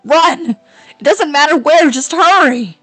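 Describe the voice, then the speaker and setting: Woman reading as a subdued lonely schoolgirl, soft spoken, slow, faint music underneath. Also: medium pitch, natural voice, low-quality recording. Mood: urgent. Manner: soft and subdued, then pleading and urgent. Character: quiet lonely schoolgirl, grave and desperate. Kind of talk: amateur audio drama reading.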